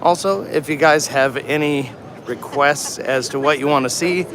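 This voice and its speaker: A man co-hosting a casual livestream walk through a trade show, easygoing and quick to laugh, recorded on a phone. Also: a little high, crowd noise. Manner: easygoing, chuckling, chatty. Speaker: co-host. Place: busy trade show hall. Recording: phone audio in crowded hall.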